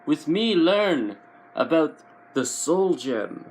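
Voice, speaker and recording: A man voicing a grandiose cosmic storyteller, delivering a scripted dramatic introduction with portentous, drawn-out phrasing. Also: on the high side, very animated, background noise, voice pushed up. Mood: grand, portentous.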